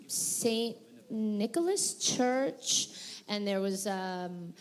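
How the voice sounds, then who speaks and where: A woman voice actress answering questions at a convention panel, chatty and rambling with frequent fillers and laughs. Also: mid-range voice.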